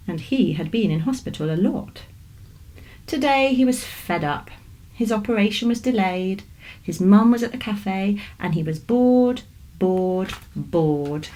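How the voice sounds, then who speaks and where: A woman's voice: medium pitch, rough recording. British woman reading a children's story. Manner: expressive storytelling. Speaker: author reading aloud. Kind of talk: scripted children's story narration.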